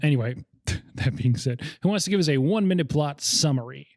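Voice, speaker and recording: A man's voice: a little high, expressive, a little fast, a little mellow, dry recording, studio quality. Chatty young man hosting a movie podcast. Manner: chatty, laughs often, enthusiastic about film history. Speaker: podcast host. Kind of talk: casual movie podcast chat.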